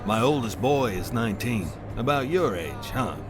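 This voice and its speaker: An actor playing a plain-spoken, tough middle-aged truck driver in an audio drama. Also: male voice, fairly low pitch, big pitch swings, normal speed, music in the background. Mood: easygoing. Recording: clear vehicle noise behind.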